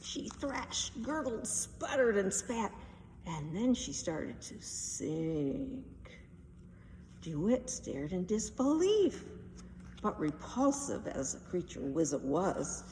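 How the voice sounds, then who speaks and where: Expressive woman storyteller in an echoey hall. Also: on the low side. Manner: dramatic, emphatic. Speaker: read-aloud storyteller. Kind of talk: expressive children's storybook narration. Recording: distant mic, echoey hall, faint noise.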